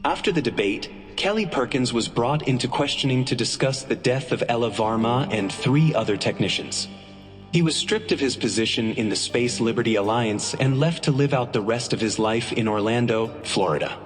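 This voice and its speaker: A man's voice: mid-range voice, moderate pace. A man reading scripted story narration as the narrator of an epilogue. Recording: long reverb, music underneath.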